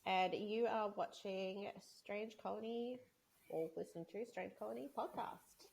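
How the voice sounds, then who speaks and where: A woman's voice: medium pitch, measured pace, gravelly, darkish tone, rough recording. A woman in her thirties co-hosting a podcast, chatting casually, rambling and laughing often. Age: 30s. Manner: giggly, rambling. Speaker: podcast co-host. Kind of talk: casual chat.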